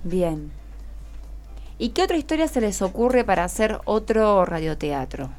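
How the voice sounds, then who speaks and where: A woman's voice: mid-range voice, lively intonation, measured pace, darkish tone, soft background music. A woman with a Rioplatense Argentine accent hosting a friendly radio interview in an encouraging, conversational way. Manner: encouraging, prompts with short questions and affirmations. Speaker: radio host interviewing schoolchildren. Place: radio studio.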